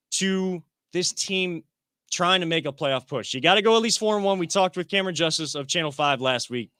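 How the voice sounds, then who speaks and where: A man leads a casual sports discussion in a bright, somewhat high voice. He works through his points aloud and restarts his sentences often. The recording is close and dry. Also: no echo. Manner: thinks aloud with frequent restarts. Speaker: sports podcast host. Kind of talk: casual sports talk show.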